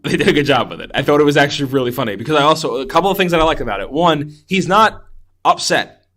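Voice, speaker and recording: A man's voice: fairly high pitch, lively intonation, quick pace, fairly bright tone. A man co-hosting a podcast, reviewing a film in a casual, enthusiastic, rambling way and laughing readily. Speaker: podcast co-host.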